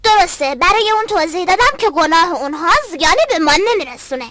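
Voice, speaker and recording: Very high-pitched earnest cartoon boy. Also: lively intonation, heavy background noise. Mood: explaining. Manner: earnest, explanatory, bookish. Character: earnest, pious young boy, sure of himself. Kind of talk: dubbed educational cartoon acting.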